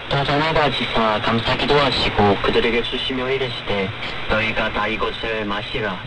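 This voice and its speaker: A man preaching a radio sermon as a pastor, speaking formally and earnestly in an explanatory way. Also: mid-range voice. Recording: noisy shortwave radio broadcast.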